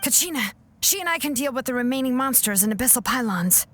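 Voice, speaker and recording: A woman's voice: high-pitched, animated, fast, fairly bright tone. A woman playing a bold, determined young warrior in a video game. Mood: urgent, resolute.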